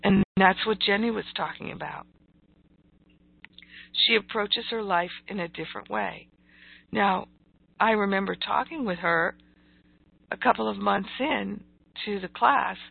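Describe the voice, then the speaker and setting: A woman with a croaky, gravelly voice giving motivational spiritual teaching, deliberate and emphatic, repeating phrases for weight. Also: medium pitch. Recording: thin, phone-like audio.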